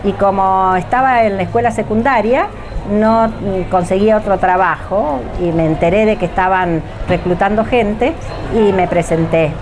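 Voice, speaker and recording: An older woman with an Argentine accent, recalling her radio acting days in an interview with hesitant, searching pauses. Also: mid-range voice, over music. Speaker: former radio drama actress being interviewed. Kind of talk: reminiscing interview talk.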